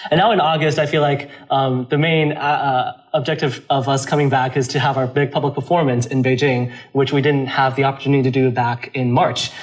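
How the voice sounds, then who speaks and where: A man's voice: medium pitch, level tone, brisk, hardly any pauses. A young man from China answers interview questions casually. His voice sits in a middle register, and he thinks aloud, often restarting his sentences. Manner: thoughtful, with frequent fillers and restarts. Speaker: interview guest, a cappella singer.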